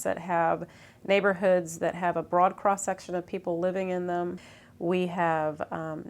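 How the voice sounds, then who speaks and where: A woman speaking as a local official, explaining in measured, earnest phrasing in an interview. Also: fairly low pitch. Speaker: city commissioner, interviewee.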